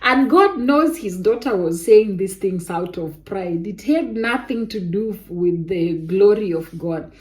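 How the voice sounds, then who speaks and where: Young woman, soft voice, slow and unhurried, personal-testimony vlogger tone. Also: mid-range voice, rough recording. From Kenya. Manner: animated testimony with Kenyan interjections like "eh" and "kai". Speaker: vlogger telling a personal story. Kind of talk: casual storytelling to viewers.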